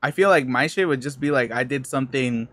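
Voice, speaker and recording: A man's voice: mid-range voice, a little fast, fairly bright tone, no echo, clean studio sound. A young man co-hosting a casual podcast, hesitant and trailing off as he talks. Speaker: podcast co-host. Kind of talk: casual chat.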